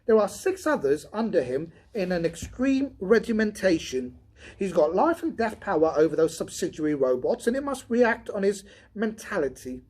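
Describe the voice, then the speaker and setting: An excitable robot field technician , acted out by a male reader, pitched high and pushed loud. He theorizes emphatically and sounds agitated and a little worn out, in a science fiction storybook. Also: moderate pace, breathy edge, heavily acted. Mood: eager theorizing. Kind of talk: audiobook character dialogue.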